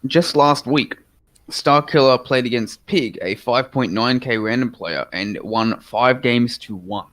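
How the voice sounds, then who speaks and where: A man's voice: medium pitch, unhurried, fairly bright tone. Aussie guy casting a match live, chatty and upbeat, headset mic. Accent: Australian. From Australia. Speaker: esports caster. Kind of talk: live game commentary.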